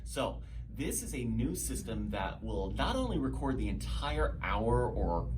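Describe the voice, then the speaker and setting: A man presenting a step-by-step software tutorial in a methodical, explanatory manner. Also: medium pitch, very animated, a little slow. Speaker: instructor, presenter. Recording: clear background noise, room ambience.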